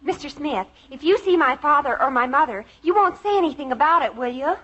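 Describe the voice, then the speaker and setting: A woman's voice: high-pitched, expressive, brisk, a bit bright. A woman playing a bossy, easily exasperated teenage older sister in a 1950s radio sitcom, on a dull old recording. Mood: eager, secretive.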